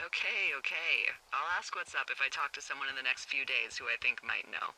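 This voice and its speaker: A woman's voice: extremely low pitch, animated, a little fast, pushed and bright, heavily acted, low-quality recording. A woman playing a wry, teasing American fire lookout in a naturalistic video game, chatty and sardonic, heard over a two-way radio. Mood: helpful, easygoing.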